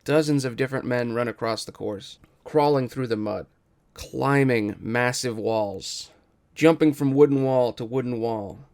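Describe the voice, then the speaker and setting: Male narrator for a scripted table read, mid-pitched with a creaky, gravelly edge. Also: a little slow, darkish tone.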